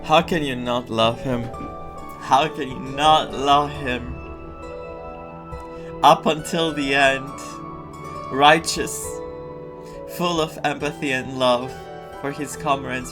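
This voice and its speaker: Unhurried man commenting over a game. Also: medium pitch, fairly even intonation, music in the background. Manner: unhurried, reflective, searching for words. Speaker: gaming streamer. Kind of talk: casual playthrough commentary, reading some dialogue aloud.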